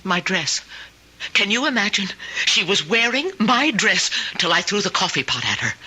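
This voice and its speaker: Fretful, sharp-voiced frightened woman. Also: mid-range voice, big pitch swings, slightly rough, full character voice, poor audio. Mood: agitated, pleading. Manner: halting, pleading, repeats herself. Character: frightened, confused, fretful lonely woman insisting on her story. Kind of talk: old-time radio drama acting. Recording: old radio drama recording.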